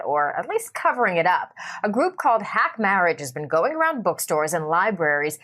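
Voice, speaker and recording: A woman reading a TV news report in crisp newsreader style. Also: medium pitch, fairly bright tone. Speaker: news anchor.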